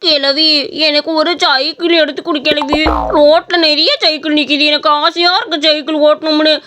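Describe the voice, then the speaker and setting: A woman's voice: high-pitched, flat delivery, normal speed, bright, forceful tone. A woman playing a poor, wistful village schoolboy who wheedles, in a comic skit. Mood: pleading.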